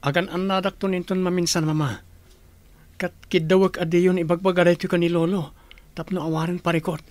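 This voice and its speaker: Casting: man playing young adult son in a radio drama; earnest, reassuring, lightly high-pitched, dry recording. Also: little pitch movement, brisk, darkish tone, dry recording, lightly acted. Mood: reluctant placating. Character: dutiful young adult son, soothing his mother. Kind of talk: Ilocano radio drama acting.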